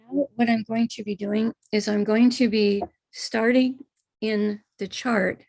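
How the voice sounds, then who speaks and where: A middle-aged woman with an American accent, a spiritual teacher calmly guiding listeners step by step through a live-streamed ritual. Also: medium pitch, creaky, no echo, rough recording. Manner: calm, gentle step-by-step guidance.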